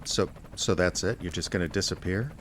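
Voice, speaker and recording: Man acting small-time private investigator, American, low voice, wry, reasoning, persistent, audio drama, noisy ambience. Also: brisk, a bit bright. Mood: earnest. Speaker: lead radio drama actor. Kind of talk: audio drama dialogue and first-person detective narration.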